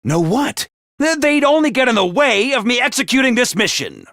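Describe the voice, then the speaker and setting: A man voicing a cold, scheming AI that mocks feelings as useless, with a flat, dismissive manner, recorded dry in a studio. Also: very high-pitched, normal speed, no echo, full character voice. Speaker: game voice actor. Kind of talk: video game voice lines.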